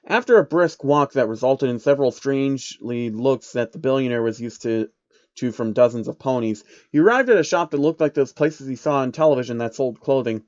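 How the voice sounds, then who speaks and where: A man's voice: mid-range voice, fairly quick, a little mellow, no echo. A man narrates a comic story in a casual, irreverent way, dropping in sweary asides. The sound is close and dry, slightly dull and lo-fi. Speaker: narrator of online fan fiction.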